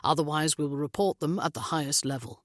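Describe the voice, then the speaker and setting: A woman voicing a calm male negotiator speaking quietly in an audiobook. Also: sits low, fast, dry recording, clean studio sound, close to an everyday voice. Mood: hushed. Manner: quiet, hushed.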